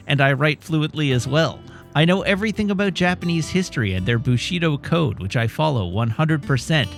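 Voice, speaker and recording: A man's voice: medium pitch, a bit bright. A man reading out as a pompous, boastful young anime fanboy, self-important and mock-serious.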